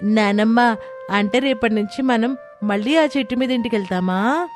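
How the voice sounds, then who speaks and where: A woman's voice: on the high side, normal speed, soft background music, lightly acted. A woman voicing an innocent, affectionate young child, earnest and childlike, in a moral story. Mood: curious. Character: innocent, affectionate young grandchild missing grandmother. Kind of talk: dramatised Telugu moral story.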